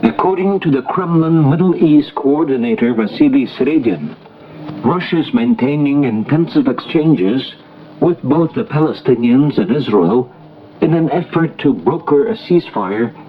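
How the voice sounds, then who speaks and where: Muffled shortwave radio recording, faint noise, male newsreader, formal scripted bulletin, measured delivery. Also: medium pitch, lively intonation.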